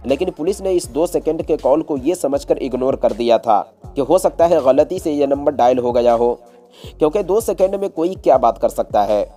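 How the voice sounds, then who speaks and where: A man's voice: mid-range voice, warm tone. A man telling a true-crime story as a scripted narrator, in a dramatic, explanatory storyteller's manner.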